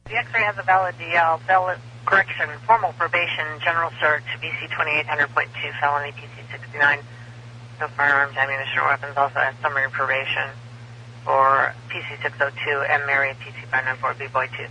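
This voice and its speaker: A man's voice: a little high, level tone, fairly quick, bright, forceful tone. A man working as an emergency dispatcher over two-way radio, reading back codes and numbers in clipped, procedural phrases. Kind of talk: police and fire radio dispatch. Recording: noisy police scanner radio, humming.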